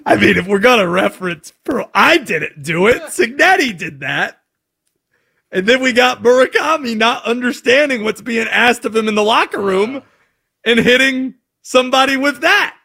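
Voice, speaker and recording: A man with an American accent hosting sports talk in an emphatic, animated way, often asking rhetorical questions. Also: extremely high pitch, normal speed, vocal fry, slightly rough. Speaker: sports talk show host.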